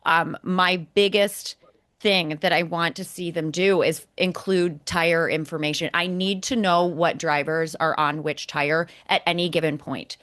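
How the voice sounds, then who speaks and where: A woman's voice: on the low side, steady tone, a little slow, a bit bright. A woman co-hosting a motorsport podcast, giving emphatic, critical commentary and explaining point by point. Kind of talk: opinionated podcast commentary on race broadcasts.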